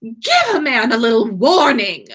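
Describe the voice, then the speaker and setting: Storybook schoolboy wizard, acted by a woman: snobbish, whiny, indignant drawl, raised pitch, swooping delivery. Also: wildly swooping pitch, measured pace, fairly bright tone, husky, heavily acted. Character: teenage wizard boy, haughty, whiny and dramatic.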